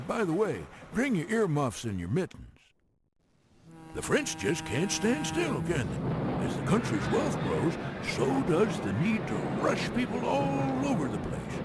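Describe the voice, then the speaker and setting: An actor playing a wry, folksy, teasing railroad narrator in a game cutscene, giving theatrical briefings with drawn-out emphasis. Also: male voice, high voice, heavy background noise, full character voice. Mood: wry, grand. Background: music.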